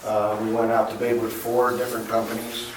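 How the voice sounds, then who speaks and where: A man, an energy consultant, presenting at a public council meeting, his voice hoarse and going, with halting ums and uhs. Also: lowish voice, completely flat delivery. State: losing his voice. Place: council chamber. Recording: echoey chamber, far from mic, noisy.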